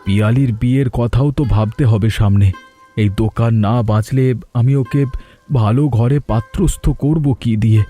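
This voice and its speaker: Man doing a worn-out worried older dad in a cartoon, super mellow dark voice, music behind. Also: medium pitch, normal speed, natural voice. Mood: worried, weary. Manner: worried, despondent. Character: anxious, weary aging father and village shopkeeper. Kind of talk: cartoon story voice acting.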